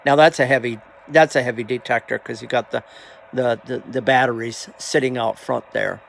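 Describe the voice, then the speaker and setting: A man with a gravelly, creaky voice talking casually as a hobby video host, halting and plainspoken, often trailing off. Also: medium pitch, steady tone, a bit bright, slight background noise. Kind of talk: casual rambling vlog talk.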